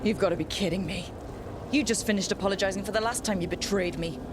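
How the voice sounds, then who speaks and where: An actress playing a determined, betrayed hero in a video game, direct and plain-spoken. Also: female voice, medium pitch, fairly even intonation, quick pace, background noise, lightly acted. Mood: angry, accusing. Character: blunt, betrayed, determined young female fantasy hero. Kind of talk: dramatic video game voice acting.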